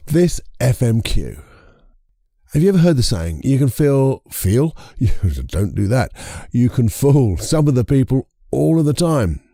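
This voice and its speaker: A man with a croaky voice hosting a casual solo podcast, chatty and rambling, correcting himself as he goes. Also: mid-range voice, very animated, a little slow, mellow, dry recording, studio quality. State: croaky voice from a lingering cold. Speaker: podcast host and hypnotherapist.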